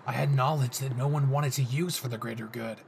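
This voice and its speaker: A man's voice: medium pitch, fairly even intonation, brisk, gravelly, a little mellow, a little hiss or hum, close to an everyday voice. A man playing a brash, possessive young hero, cocky, swearing and intense, in a dramatic audio roleplay spoken to the listener. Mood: bitter, intense. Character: brash, possessive young male hero, gruff and foul-mouthed.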